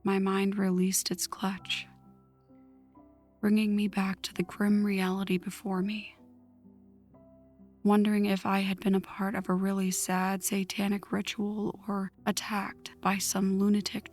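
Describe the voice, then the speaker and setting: A woman voicing a vengeful, newly turned vampire in a hushed, breathy ASMR story narration, slow and dramatic. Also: mid-range voice, little pitch movement, lightly acted. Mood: brooding, haunted. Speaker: audio roleplay narrator. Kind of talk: ASMR scripted first-person story narration. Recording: music under the voice. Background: background music.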